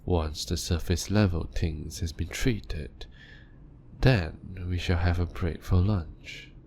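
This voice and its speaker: A man voicing an ancient male vampire doctor, calm and clinical, speaking softly and often in a whisper in an audio roleplay. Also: low-pitched, a little hiss or hum. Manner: soft-spoken and measured, often whispering.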